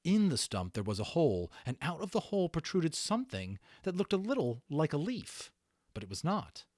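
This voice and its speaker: Male amateur narrator, mid-pitched and animated, for expressive children's book storytelling in a dry space. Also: normal speed, dry recording. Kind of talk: dramatic storybook reading with casual asides.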